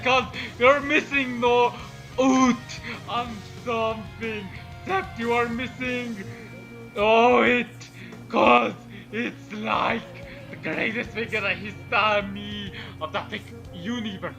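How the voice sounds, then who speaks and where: Man, high-pitched voice, casual rambling chat, halting, joking, prominent music behind. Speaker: co-host reading fan fiction aloud. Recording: loud music over the voice.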